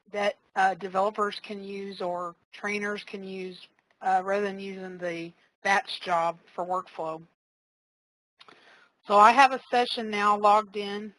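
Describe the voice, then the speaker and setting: A woman in a mid register talks through a live software demo at an easy pace. She keeps it casual and explains each step, with the odd filler as she clicks along. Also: mid-range voice, poor audio. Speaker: software trainer.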